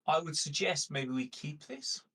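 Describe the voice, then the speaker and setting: A man's voice: on the high side, lively intonation, unhurried, pushed and bright, slightly rough. A man playing a tabletop roleplaying game, talking casually and negotiating with the others.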